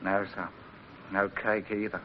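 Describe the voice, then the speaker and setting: An actor playing a plain-spoken, loyal British sailor in a radio drama, homely and deferential with a dry, sceptical streak. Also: male voice, on the low side, little pitch movement, unhurried, natural voice. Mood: dry, grumbling. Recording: muffled, noisy old radio recording.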